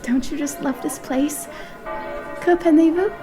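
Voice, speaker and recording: A refined young lady of a period audio drama. Her voice sits fairly high and she speaks with animated, formal politeness, frank and affectionate. Some music plays behind her. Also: unhurried, a crowd in the background. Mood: playful, poised. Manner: animated, genteel, formal phrasing.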